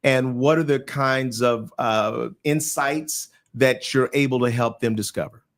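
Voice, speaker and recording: A man co-hosting a live-streamed interview over a video call, asking questions in a measured way. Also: medium pitch, fairly even intonation. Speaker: co-host. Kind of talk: livestream interview talk.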